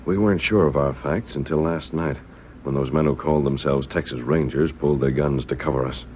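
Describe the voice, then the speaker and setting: A man's voice: extremely low pitch, fairly even intonation, a little fast. A man playing a commanding, upright masked cowboy hero in an earnest, authoritative old-time western radio drama style. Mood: confiding, resolute. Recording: dull vintage radio recording with noise.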